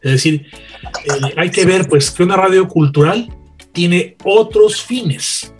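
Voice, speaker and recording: A man with a Mexican accent giving his opinion as a radio panel guest, emphatic and deliberate, building rhetorical lists. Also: sits high, faint music behind.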